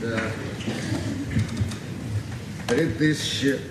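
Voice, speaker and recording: An actor playing a dry, world-weary, ironic male party guest in a Soviet radio play, with a measured deadpan delivery. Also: mid-range voice. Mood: wry, detached. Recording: roomy, distant, noisy 1970s radio recording.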